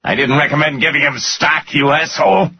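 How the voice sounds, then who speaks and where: A man voicing a furious, sarcastic finance director who shouts and swears at his boss in an audiobook. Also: medium pitch, lively intonation, normal speed, breathy edge, no room sound, lo-fi sound, voice pushed up. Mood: angry, scornful. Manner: shouting, sarcastic, incredulous, profane.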